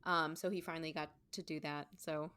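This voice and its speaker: A woman's voice: sits low, fairly even intonation, fairly quick, sharp tone, clean tone. A woman co-hosting a podcast in casual chat, conversational and loose, often saying "like" and "you know". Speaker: podcast co-host, stage performer.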